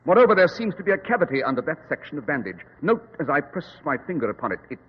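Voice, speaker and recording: An actor playing a brisk, self-assured male detective in an old-time radio drama, with precise, formal, condescending diction. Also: a little high, very animated, husky, lo-fi sound. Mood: observant, assured. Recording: echoey, band-limited old radio recording.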